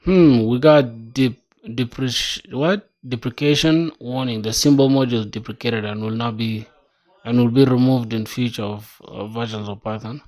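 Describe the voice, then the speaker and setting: A man's voice: medium pitch, steady tone, moderate pace, a little mellow. A young man from South Sudan, a self-taught programmer, thinking aloud while he codes, reading documentation and talking casually. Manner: casual, musing, reads docs aloud, says "bro".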